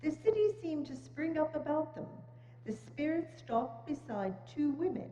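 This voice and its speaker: A woman's voice: sits high, animated, moderate pace, warm tone. A woman reading scripted narration for a dramatic reading of a story. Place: large church hall, indoors. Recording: muffled echoey church hall, humming noise.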